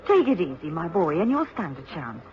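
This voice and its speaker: A woman's voice: low voice, very animated, brisk, background noise. A woman playing a forthright, motherly inn landlady, chatty and folksy, in a radio drama. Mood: advising. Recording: dull radio recording, crowded pub behind.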